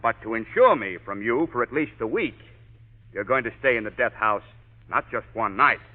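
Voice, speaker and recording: Actor playing a blustering police commissioner. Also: male voice, mid-range voice, lively intonation, normal speed, natural voice. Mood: exasperated. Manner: blustering, exasperated. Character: gruff irritable male police commissioner, middle-aged. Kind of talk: 1940s radio drama acting. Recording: lo-fi old radio broadcast.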